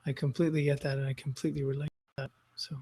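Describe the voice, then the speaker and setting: A man's voice: medium pitch, little pitch movement, very fast, a little mellow, husky. A man hosting an interview, responding in an affirming, sympathetic way. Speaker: podcast host. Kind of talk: interview conversation.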